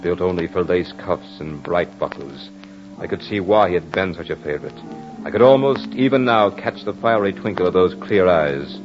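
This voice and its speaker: An actor playing a proud, battle-hardened soldier in old radio horror drama, with formal, theatrical diction. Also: male voice, extremely low pitch. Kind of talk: 1940s-50s radio horror drama acting. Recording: muffled old-time radio recording with music.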